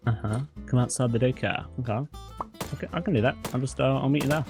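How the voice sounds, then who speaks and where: A man's voice: medium pitch, fast, over music. A man in casual improvised game roleplay, teasing and mock-pleading as a co-player.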